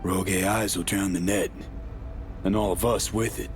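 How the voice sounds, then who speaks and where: A man's voice: deep voice, steady tone, moderate pace, faint music behind. A man playing a tough, guarded street mercenary in a video game, speaking clipped, laconic lines over noisy ambience. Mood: wry, tense. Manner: clipped, dropped words, laconic. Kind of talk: cinematic video game acting.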